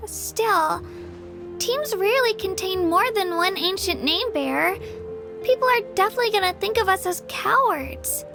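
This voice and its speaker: Very high, earnest little girl. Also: animated, unhurried, creaky, full character voice. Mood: self-doubting. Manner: earnest, cheery, childlike. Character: small, sweet, eager young girl, unsure of herself. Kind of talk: video game voice acting. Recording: game music behind.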